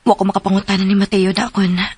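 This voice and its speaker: A man playing an anxious character in a radio drama, fretting aloud to himself, husky, with a Cebuano Filipino accent. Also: sits high, flat delivery, very fast, dry recording. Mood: worried musing. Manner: fretting to self. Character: anxious person failing to reach someone by phone. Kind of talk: Philippine radio drama acting.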